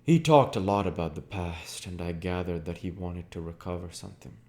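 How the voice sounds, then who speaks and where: A man's voice: deep voice, moderate pace, gravelly, darkish tone, a little hiss or hum. A man narrating literary fiction as an audiobook reader, in a scripted style.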